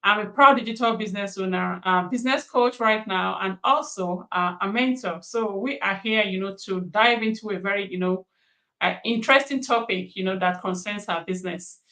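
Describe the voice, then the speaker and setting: A woman's voice: mid-range voice, normal speed, pushed and bright. A woman from Nigeria who works as a business coach, chatting casually with many fillers. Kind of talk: casual panel introduction.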